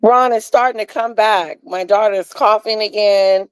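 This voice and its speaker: A woman's voice: mid-range voice, steady tone, unhurried, clean tone, no room sound. A woman chatting casually as a guest on an online panel. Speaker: late-joining panel guest. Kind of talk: casual chat.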